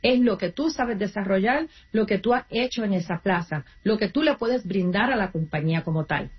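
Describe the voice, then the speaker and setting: A woman's voice: medium pitch, lively intonation, normal speed, muffled recording. A woman who is a guest on an interview, coaching job seekers and explaining in an earnest way, sometimes restarting her words. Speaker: guest, nonprofit career coach. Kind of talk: interview answers, advice.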